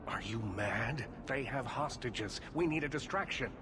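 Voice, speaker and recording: Actor as a weary sardonic mage elder; cutting, controlled, over noisy game ambience. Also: male voice, mid-range voice, animated, a little slow, close to an everyday voice. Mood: urgent. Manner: dry, cutting sarcasm. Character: proud weary male elven mage leader, sardonic.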